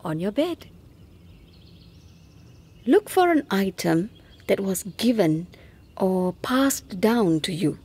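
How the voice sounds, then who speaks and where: A woman narrating a scripted guided meditation softly and gently, often close to a whisper, with long unhurried pauses. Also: medium pitch, big pitch swings. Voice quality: soft, breathy, often near whisper.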